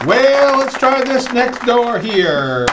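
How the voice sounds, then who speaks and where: A man's voice: very high voice, sing-song, takes it slowly, a loud crowd, very noisy, full character voice. A man playing an inquisitive roving host and straight man in an old-time radio comedy, echoing what he hears. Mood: inquisitive, wry. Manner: inquisitive, echoes others' lines.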